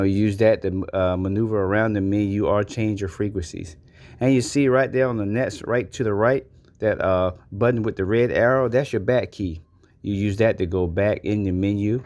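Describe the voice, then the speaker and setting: A man's voice: lowish voice, darkish tone. A man giving a casual, folksy product walkthrough as a reviewer in an unboxing video.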